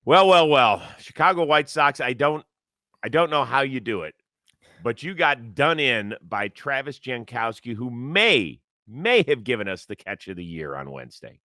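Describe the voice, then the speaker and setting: A male sports show host with a bright, forceful voice that swings up and down a lot. His voice sounds a touch hoarse, as if he slept badly. The recording is close and dry, with no room behind it. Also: medium pitch, normal speed, dry recording. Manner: lively, animated, reads ad copy with energy. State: a little hoarse from lack of sleep. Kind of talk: casual sports talk show.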